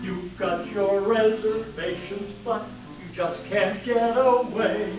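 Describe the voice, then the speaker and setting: High male singing, very echoey hall. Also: fairly even intonation, slow pace, music in the background. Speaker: singer in an amateur troupe. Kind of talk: sung old standard with piano. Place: live stage show before an audience. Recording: very echoey hall, distant mic, muffled.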